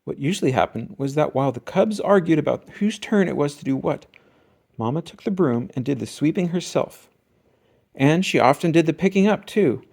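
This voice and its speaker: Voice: mid-range voice, animated, normal speed, creaky, darkish tone. An adult reader narrating a children's picture book expressively, drawing out key words for emphasis. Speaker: storybook reader. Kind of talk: scripted children's storybook narration.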